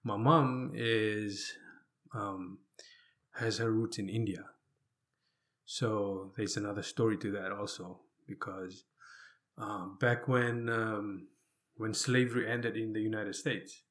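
Low-voiced man telling his life story. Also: fairly even intonation, takes it slowly, fairly bright tone. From Fiji. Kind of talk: conversational personal storytelling.

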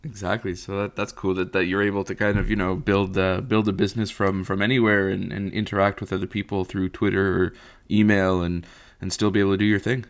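A man's voice: low-pitched, flat delivery, a little fast. A man interviewing a guest, asking questions and giving short encouraging replies. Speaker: interviewer, podcast host. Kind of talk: casual interview.

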